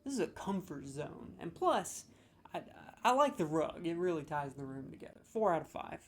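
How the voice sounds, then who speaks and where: A man's voice: on the high side, very animated, moderate pace, fairly bright tone. A man in a comedic role, scoring lines with sarcastic, mock-serious, playfully exaggerated commentary.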